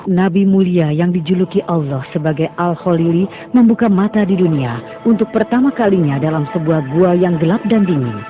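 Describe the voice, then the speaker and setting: High-voiced male cartoon narrator. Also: moderate pace. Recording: tinny phone-like sound under music.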